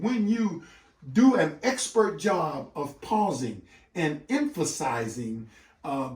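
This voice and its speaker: In an echoey room, a man presents like a teacher addressing parents. His voice sits fairly high and swings widely in pitch. He leans on key words and sets them apart with deliberate, dramatic pauses. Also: a little mellow. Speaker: literacy educator hosting a read-aloud session for parents. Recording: echoey room, distant microphone.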